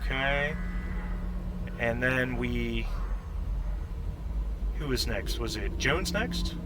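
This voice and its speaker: A man's voice: mid-range voice, drawn-out words, a bit bright, slight background noise. A man running a tabletop role-playing game online as game master, casual and hesitant, often repeating phrases as he thinks. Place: home, online group voice call.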